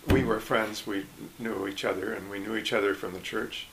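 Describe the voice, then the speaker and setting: An older man from Michigan recalling his past in a relaxed interview, speaking haltingly with frequent restarts and small chuckles. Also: fairly low pitch, slight background noise, poor audio. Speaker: oral history interviewee. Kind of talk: casual recollection.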